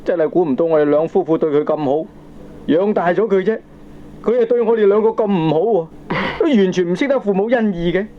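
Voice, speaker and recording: A man's voice: sits high, a little fast, natural voice. An actor with a Hong Kong accent playing a doting, aggrieved middle-aged father, deferential and questioning, in a radio drama. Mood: aggrieved, baffled. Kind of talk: Cantonese radio drama acting. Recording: dull, slightly noisy old cassette recording.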